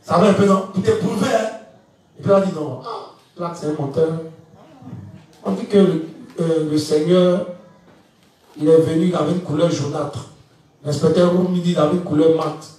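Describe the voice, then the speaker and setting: A man's voice: fairly high pitch, moderate pace, pauses often. A man in his fifties from Ivory Coast, giving an evening church teaching and often asking whether the listeners follow him. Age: 50s. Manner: animated, laughing, asks the audience if they follow. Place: church hall. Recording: echoey room, mic far off.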